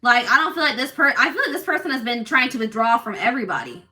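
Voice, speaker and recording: Bright, forceful woman reading tarot cards, loud and assertive, keeps restarting her sentences. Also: a little high, fast talker. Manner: animated, many restarts and fillers. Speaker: tarot reader. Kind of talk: casual tarot reading to viewers.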